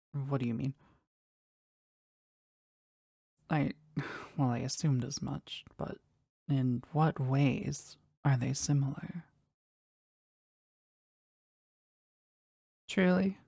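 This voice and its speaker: An actor playing a powerful, devoted demon bound to a sorcerer in an intimate romantic audio roleplay, speaking formally and tenderly in a breathy voice that often drops toward a whisper. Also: mid-range voice, a little mellow, no echo, clean studio sound, exaggerated voice. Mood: hesitant, astonished, eager. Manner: formal, slow, tender, halting with emotion.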